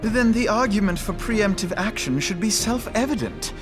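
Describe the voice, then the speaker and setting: An actor playing an earnest, sharp-witted young noble scholar who talks precisely and pointedly. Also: male voice, high-pitched, normal speed, over music. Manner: precise, pointed, lively questioning.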